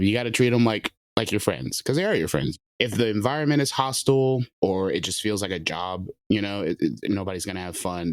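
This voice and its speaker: A low-voiced male writer and director gives advice cheerfully, laughing between points. The recording is dry and close, with no room sound. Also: no room sound. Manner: upbeat, laughing, fillers. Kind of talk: interview answers.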